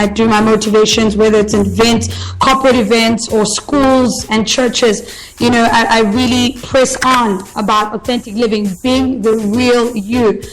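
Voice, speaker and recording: A woman's voice: fairly high pitch, low-quality recording. A woman, a radio broadcaster giving an earnest, motivational interview and repeating her key phrases for emphasis. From South Africa. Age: 20s.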